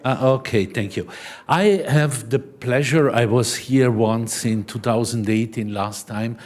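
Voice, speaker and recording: A man with an Italian accent giving a seminar presentation in halting English, often adding "no?" as he searches for words. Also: medium pitch, darkish tone. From Switzerland. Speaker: conference speaker.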